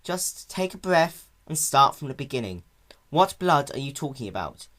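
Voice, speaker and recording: A performer voicing a caring female pony in a cartoon-based story reading, soft, patient and reassuring like a big sister. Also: mid-range voice, big pitch swings, moderate pace, voice pushed up. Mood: soothing.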